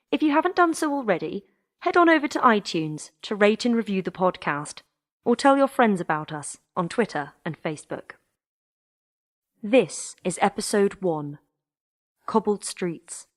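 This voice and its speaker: A woman's voice: mid-range voice, lively intonation, unhurried. A woman reading a scripted introduction as the announcer of an audio drama podcast.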